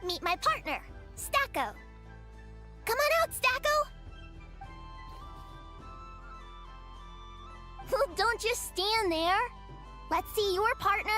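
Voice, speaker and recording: An excitable young girl from a video game, bossy and playful. Her voice is extremely high and bright, delivered theatrically and without hurry. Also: unhurried, heavily acted. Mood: playful, eager. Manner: theatrical, exclamatory. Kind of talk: animated video game voice acting. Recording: music under the voice.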